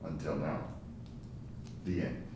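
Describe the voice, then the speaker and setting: A man's voice: extremely low pitch, steady tone, slow pace, warm tone. A man reading scene headings and stage directions as narrator at a screenplay table reading. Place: large echoey room, live table reading. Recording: very echoey, distant mic, faint noise.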